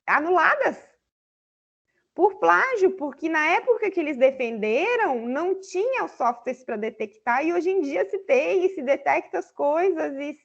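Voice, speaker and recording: A woman's voice: high voice, expressive, unhurried, creaky. A woman guest lecturer answering seminar questions over a video call, explaining at length with many fillers. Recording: slightly dull online audio.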